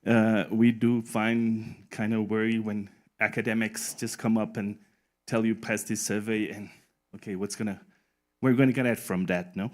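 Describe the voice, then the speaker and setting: Relaxed man chairing a conference panel. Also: on the low side, normal speed. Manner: relaxed, speaks English as a second language with tag "no?". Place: conference room.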